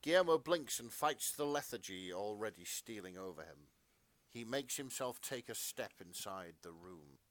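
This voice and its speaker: A man's voice: fairly low pitch, expressive, normal speed, fairly bright tone, no echo. A man co-hosting a podcast, narrating fan fiction melodramatically with casual asides.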